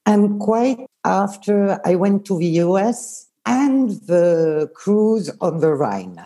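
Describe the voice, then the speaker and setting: A woman's voice: mid-range voice, very animated, takes it slowly, warm tone. A Swiss woman whose first language is Swiss German, reminiscing about her old work as a tour leader in a hesitant, casual way with many fillers. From Switzerland. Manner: hesitant, many fillers, laughs while telling stories.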